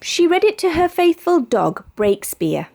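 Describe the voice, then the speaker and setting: A British woman narrates a picture book for young children. Her mid-pitched voice swoops widely up and down, giving the read-aloud a highly expressive, animated delivery. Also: a little slow, creaky. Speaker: storybook reader.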